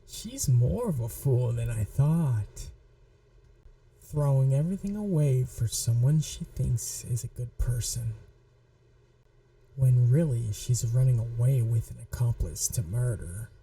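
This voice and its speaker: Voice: mid-range voice, animated, unhurried, warm tone, recorded from a distance, low-quality recording. A performer voicing a possessive, manipulative young yandere in an ASMR roleplay, taunting and coldly controlled. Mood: gloating. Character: possessive, manipulative yandere childhood friend, menacing young blackmailer. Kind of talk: scripted ASMR roleplay audio drama.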